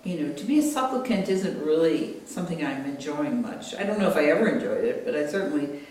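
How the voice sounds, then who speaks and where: An American woman in her late sixties speaking as an author, measured, candid and thoughtful. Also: animated, darkish tone. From United States. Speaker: author and artist being interviewed. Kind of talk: reflective interview talk and reading aloud from own book. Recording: roomy echo, distant mic, rumbly noise.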